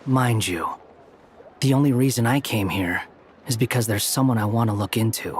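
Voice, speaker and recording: A man's voice: fairly low pitch, moderate pace, background noise, natural voice. A man playing an aloof, curt young scholar with a dry, dismissive manner in a video game. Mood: cool, curt. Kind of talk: scripted video game dialogue.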